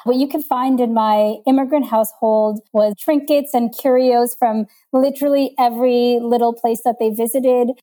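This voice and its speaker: Earnest woman, fairly high mellow voice, casual podcast. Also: fairly even intonation, normal speed, hardly any pauses. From South Africa. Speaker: podcast co-host.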